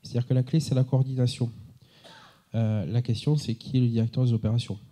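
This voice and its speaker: A man answering as an expert at a conference, unscripted but didactic, with formal, measured wording. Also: medium pitch, flat delivery, mellow. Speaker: expert answering audience questions.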